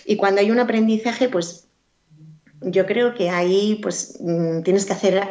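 A woman's voice: medium pitch, fairly even intonation, measured pace, a little mellow. A woman guest in a podcast interview, answering thoughtfully with many fillers and restarts. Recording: dull lo-fi audio.

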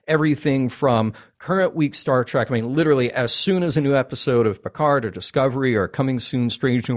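A chatty man with a mid-range voice hosts a live fan show. He speaks informally and with enthusiasm, as if addressing regular listeners. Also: medium pitch. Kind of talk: casual live podcast hosting.

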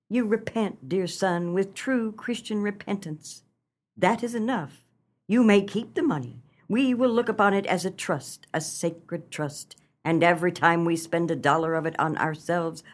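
A woman's voice: lowish voice, unhurried. An actress playing an aged, sentimental and forgiving farm mother in a comic radio melodrama.

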